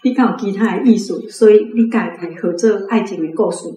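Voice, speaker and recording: A woman's voice: medium pitch, fast, warm tone. A woman with a Taiwanese accent asks questions in a casual group discussion. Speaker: reading club member asking the author questions. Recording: echoey room.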